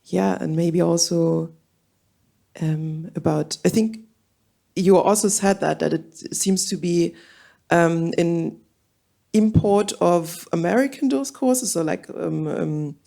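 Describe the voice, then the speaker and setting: A woman's voice: on the low side, slow pace, vocal fry, warm tone. A woman from Germany speaking on a symposium panel, hesitant and searching for words, with many fillers. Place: auditorium.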